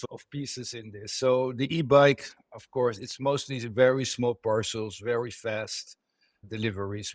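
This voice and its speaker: A man's voice: lowish voice, no echo, clean studio sound. A middle-aged man from the Netherlands with a Dutch accent, a company co-founder answering podcast questions and often repeating words as he restarts phrases.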